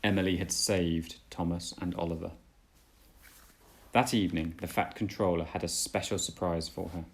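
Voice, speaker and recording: A man reading a children's storybook aloud as a dad would, with deliberate, dramatic storytelling. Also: low voice, fairly even intonation, creaky.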